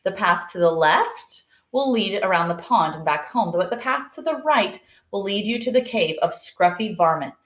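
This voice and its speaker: A woman voicing patient rabbit parents in a storybook, giving deliberate instructions and warnings to their son. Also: medium pitch, expressive, fairly bright tone, echoey room, close to an everyday voice.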